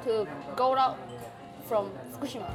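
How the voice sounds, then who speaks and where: A woman with a Malaysian Chinese accent, her first language Chinese, speaking halting English in a casual interview and searching for words. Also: a little high. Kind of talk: casual conversation. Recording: loud music behind the talk.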